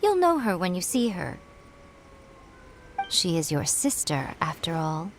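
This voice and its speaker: Sly, teasing noble lady, slow and lilting. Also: on the low side, very animated, background noise. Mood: smug, commanding. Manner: coy, theatrical, unhurried. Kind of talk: video game voice acting.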